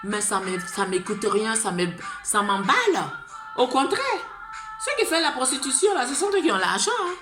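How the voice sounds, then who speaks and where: A woman's voice: a little high, lively intonation, moderate pace. Fervent Ivorian woman, echoey room, music. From Côte d'Ivoire. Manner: fervent and pleading, rambling with repeated "là" tags. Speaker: vlogger. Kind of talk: impassioned monologue to camera. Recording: roomy echo with music behind.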